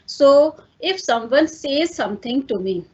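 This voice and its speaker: A woman's voice: high voice, expressive, unhurried, muffled recording. A woman with an Indian accent, a native Hindi speaker, giving a lecture and explaining step by step with many fillers and "fine" check-ins. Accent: Indian English. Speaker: teacher. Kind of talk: online lecture.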